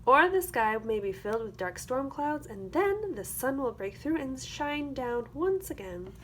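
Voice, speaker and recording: Woman, fairly high voice, teacher reading picture book to children, rhythmic expressive phrasing, noticeable background noise. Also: a little slow. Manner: rhythmic, expressive delivery of rhyming verse with explanatory asides. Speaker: teacher reading aloud to a class.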